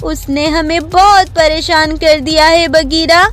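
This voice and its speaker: A woman's voice: high-pitched, fairly even intonation, takes it slowly, a bit bright. A woman voicing a distressed jungle animal in an animal story, earnestly complaining and asking for help. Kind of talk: Hindi animal storybook voice acting.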